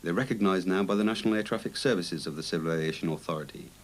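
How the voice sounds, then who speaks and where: A man's voice: sits low, very fast. A man making a formal interview statement, restating his points for emphasis.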